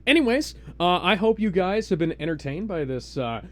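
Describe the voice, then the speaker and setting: A man's voice: high voice, very animated, moderate pace, a little hiss or hum. A man hosting a livestream, switching between dramatic comic reading and casual banter in a hammy, exclaiming manner. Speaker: livestream host.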